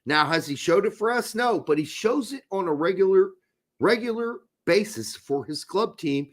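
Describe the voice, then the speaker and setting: A man with a hoarse voice hosting a casual live soccer talk show, emphatic and swearing when fired up. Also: sits high, expressive, moderate pace. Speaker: podcast host.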